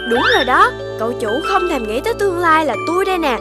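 A fretful young cartoon flower, complaining in a high, animated voice. Music plays clearly behind it. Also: female voice, quick pace. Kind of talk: cartoon dubbing.

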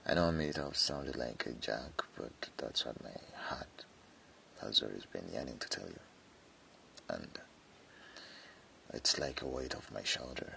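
Man acting a very deep, gravelly jealous friend. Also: expressive, pushed and bright, a little hiss or hum, full character voice. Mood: earnest, confessing. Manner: hesitant, halting, with stammered repeats. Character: jealous tsundere young male friend confessing hidden love. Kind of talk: intimate boyfriend ASMR roleplay.

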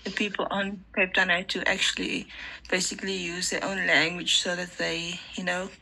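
Creaky, bright South African woman. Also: lowish voice, little pitch movement, moderate pace, low-quality recording. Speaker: student. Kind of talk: casual class discussion. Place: online group audio call.

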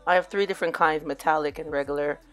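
Hoarse middle-aged woman, lowish voice, easygoing tutorial narration with faint music behind. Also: quick pace. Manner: relaxed, chatty, addresses viewers as "you guys". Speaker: DIY crafts vlogger. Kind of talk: casual crafting tutorial voice-over.

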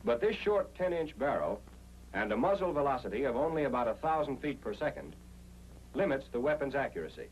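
A man's voice: medium pitch, big pitch swings, brisk, highs cut off, a little hiss or hum. An American man reading a scripted 1940s instructional film narration in an emphatic, explanatory way, on an old film soundtrack.

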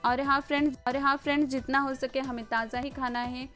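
A woman's voice: sits high, flat delivery, brisk, fairly bright tone. A woman narrates a casual home cooking voice-over in a hesitant, explanatory way, often repeating words. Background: background music.

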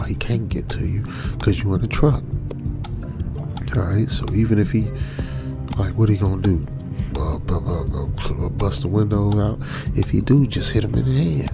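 Man acting a jittery young trucker, gravelly and low. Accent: American. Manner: nervous, repetitive muttering, often dropping to a whisper. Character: frightened young male trucker, stranded, jittery and muttering. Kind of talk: old-school radio drama with ASMR whispering. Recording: music behind the voice.

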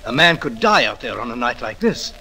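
An animated, stranded gentleman traveller. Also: mid-range voice, brisk, heavily acted. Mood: anxious, polite. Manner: formal, pleading. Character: lost, weary, polite gentleman traveller seeking shelter. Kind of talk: 1940s radio acting. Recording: noisy old 1940s radio recording.

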